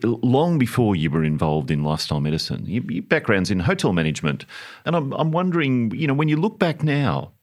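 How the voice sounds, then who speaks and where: A man interviews a guest in a fairly low voice with lively, swooping intonation. He is polite and reflective, feeling his way into each question. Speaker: interviewer, co-host.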